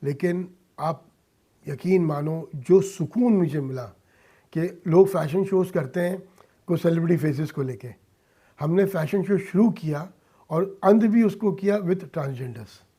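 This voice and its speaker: A man with a fairly high, very mellow voice is being interviewed in a radio studio. He speaks earnestly in short, halting phrases and leans on his points with emphasis. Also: husky. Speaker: interview guest, industrialist and philanthropist. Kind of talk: earnest interview answers mixing Hindi and English.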